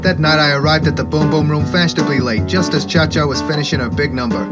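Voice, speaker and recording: An actor playing a cocky, slangy, wisecracking private eye who narrates a parody 1950s radio noir drama. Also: male voice, fairly high pitch, fairly even intonation, fast talker, muffled recording, over loud music, natural voice. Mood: knowing, storytelling. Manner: smug, slangy. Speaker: lead detective and narrator.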